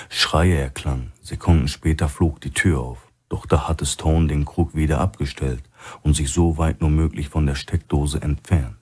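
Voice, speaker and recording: Very deep, husky male narrator. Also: darkish tone. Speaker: audiobook narrator. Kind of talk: scripted narration of a crime thriller.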